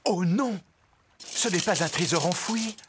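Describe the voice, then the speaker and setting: A woman narrating a young children's cartoon in a scripted, expressive storybook manner. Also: fairly low pitch, measured pace, background noise. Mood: lively storytelling.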